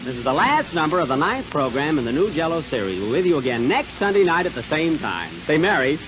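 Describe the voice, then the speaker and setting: An actor playing an easygoing, mildly put-upon comedian host, chatting in an understated way on 1930s radio. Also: male voice, on the high side, big pitch swings, quick pace. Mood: easygoing banter. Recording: muffled 1930s radio recording with music.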